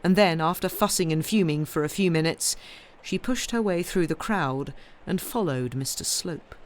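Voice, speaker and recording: A woman reading literary fiction aloud as an expressive audiobook narrator. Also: on the low side, normal speed. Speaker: audiobook reader.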